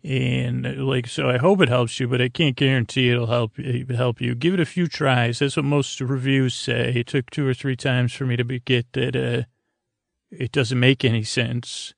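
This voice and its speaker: A man with a creaky, gravelly voice talks in a gentle bedtime-podcast monologue. His delivery is flat and halting, full of stammers and half-finished thoughts that wander off. The sound is close and dry. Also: medium pitch, no echo. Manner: meandering, halting, stammering, trailing off mid-thought. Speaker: podcast host. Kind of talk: rambling, soothing bedtime sleep-podcast monologue.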